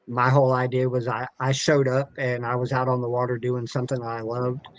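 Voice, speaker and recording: A man's voice: mid-range voice, monotone, fairly quick. A man from the United States speaking as a podcast guest on a call, talking casually and earnestly about himself. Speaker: podcast guest, tournament director.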